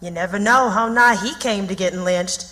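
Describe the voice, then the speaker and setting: A woman's voice: mid-range voice, moderate pace, sharp tone, close to an everyday voice. A woman playing a shrewd, motherly and nosy countrywoman from the American South in radio theatre, folksy and probing. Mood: gossipy. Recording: long reverberant hall sound.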